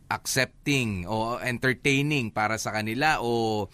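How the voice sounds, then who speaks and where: A man's voice: medium pitch, a bit bright, clear, resonant tone, no echo. A man with a Filipino accent co-hosting an advice show, talking conversationally, stretching words as he searches for them and mixing in English. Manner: hesitant phrasing, drawn-out words, code-switches into English. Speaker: radio advice show co-host.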